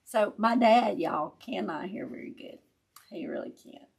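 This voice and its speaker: A woman with a raspy voice, chatting casually with viewers as she hosts a live craft tutorial. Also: mid-range voice, lively intonation, unhurried, darkish tone. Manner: chatty, laughs often, talks to viewers as y'all.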